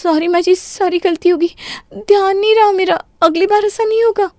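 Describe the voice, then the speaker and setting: A woman's voice: steady tone, moderate pace, darkish tone, no room sound, studio quality. A woman voicing a young modern bride in a cartoon story, apologetic and pleading in a girlish, dramatic way. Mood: meek, apologetic. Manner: pleading, apologetic, high-pitched girlish delivery. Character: young modern Indian bride, meek outside, determined and dramatic. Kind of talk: Hindi cartoon story dialogue.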